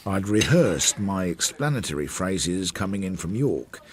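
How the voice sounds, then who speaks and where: An actor playing a Victorian police sergeant who narrates his inquiry in a measured, wry, literary way in a radio drama. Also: deep voice, sing-song.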